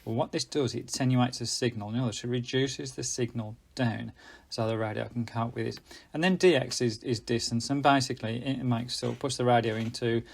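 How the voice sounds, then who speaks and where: A man's voice: mid-range voice, a little fast, creaky, hardly any pauses. A man presenting a casual hands-on gadget review in a rambling way, often correcting himself and getting slightly tongue-tied.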